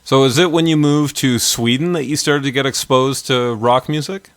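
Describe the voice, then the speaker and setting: A man's voice: mid-range voice, steady tone, normal speed, dry recording. Chatty Canadian radio host in his thirties. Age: 30s. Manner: chatty, upbeat, rambling. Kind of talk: casual radio interview.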